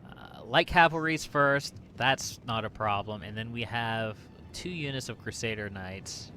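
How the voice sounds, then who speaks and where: Slow-paced man doing wry game commentary. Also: mid-range voice, sharp tone, a little hiss or hum. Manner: wry, resigned, thinking aloud. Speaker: gaming channel host. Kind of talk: casual video game let's play commentary.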